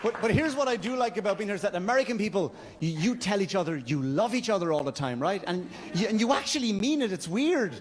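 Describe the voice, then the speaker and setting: An Irish man doing stand-up comedy storytelling, with a halting, stammering, repetitive delivery. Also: sits high, animated. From Wexford, Ireland. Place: comedy stage before a live audience. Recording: live club stage, noisy laughing crowd. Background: audience laughter and crowd noise.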